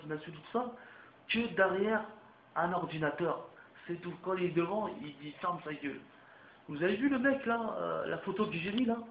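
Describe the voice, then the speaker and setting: A young man rants straight at his audience in a heated, repetitive way, full of crude slang. His voice sits a bit high for a man. The recording sounds roomy and muffled, with a faint hiss behind it. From France. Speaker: vlogger. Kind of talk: ranting monologue to camera.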